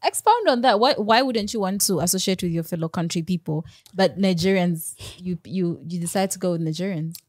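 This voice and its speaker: A woman hosting a podcast in a somewhat low voice, casual and curious. She prompts her guest with short "mm" and "yeah" sounds. The recording is dry and close. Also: brisk, hardly any pauses, darkish tone, no echo. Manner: prompting, frequent "mm" and "yeah" backchannels. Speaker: podcast host. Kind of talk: casual interview.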